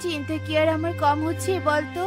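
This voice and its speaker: A woman's voice: sits high, flat delivery, fairly quick, music in the background, lightly acted. A woman playing an anxious young mother bird in a children's cartoon, pleading and worried.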